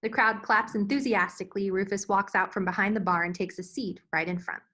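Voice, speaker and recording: A woman's voice: medium pitch, steady tone, a little fast, sharp tone. A woman reading scene headings and stage directions as the narrator of a screenplay table read.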